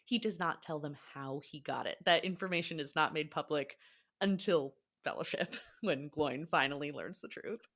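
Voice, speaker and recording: A woman's voice: sits low, moderate pace, fairly bright tone. A woman co-hosting a podcast, retelling a story in an informal, emphatic way during casual book discussion. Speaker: podcast co-host.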